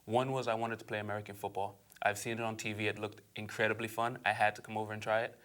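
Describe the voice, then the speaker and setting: A man's voice: fairly low pitch, flat delivery, fairly bright tone. A young man from Aruba, a guest on an interview show, talking casually and eagerly with fillers along the way. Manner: fast, eager, frequent fillers and laughs.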